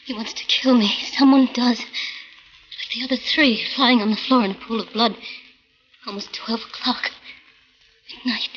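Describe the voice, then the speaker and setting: A woman's voice: fairly high pitch, steady tone, measured pace, long pauses, lightly acted. An actress playing a terrified woman awaiting her killer, fearful and melodramatic in 1940s radio style. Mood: dread. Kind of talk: 1940s radio horror acting. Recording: dull vintage radio, faint noise.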